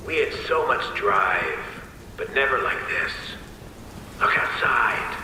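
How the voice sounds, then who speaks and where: Game villain: fatalistic, theatrical schemer, slow musing delivery in a heavily echoing, noisy space. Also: male voice, mid-range voice, expressive, sharp tone, heavily acted. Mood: bitter musing. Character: reflective, fatalistic male criminal mastermind, bitter and theatrical. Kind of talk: dramatic game monologue. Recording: very echoey, noisy rainy ambience.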